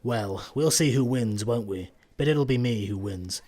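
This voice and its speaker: A man's voice: mid-range voice, unhurried, warm tone, natural voice. Smug, taunting rival musician played by a man. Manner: smug, drawling. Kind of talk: radio sitcom acting.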